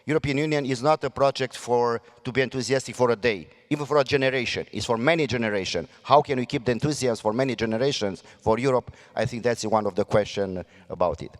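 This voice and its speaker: A man with a Romanian accent, a mayor speaking on a panel, earnest and halting with many fillers and restarts. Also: medium pitch, in a large hall. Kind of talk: panel debate remarks.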